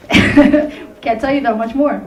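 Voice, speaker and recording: Upbeat woman pitching in an echoey hall. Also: slow, a crowd in the background. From Tunisia, raised in Sweden. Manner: upbeat, laughing asides. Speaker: contestant presenting her company. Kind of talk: pitch presentation to judges. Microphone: distant from the recording mic. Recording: echoey hall recorded from far off.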